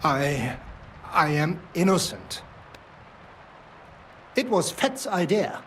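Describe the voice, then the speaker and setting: A nervous German assayer. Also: male voice, a little high, big pitch swings, takes it slowly, fairly bright tone, a little hiss or hum, full character voice. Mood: fearful. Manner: defensive, then frightened and confessing. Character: nervous middle-aged German ore assayer.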